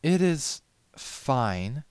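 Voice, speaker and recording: A proud, exacting lady pony from a storybook, acted out by a man, speaking in a raised pitch. The delivery is imperious and theatrical, and the sound is close and dry. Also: sing-song, drawn-out words, a little mellow, clean tone, dry recording. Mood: haughty, critical.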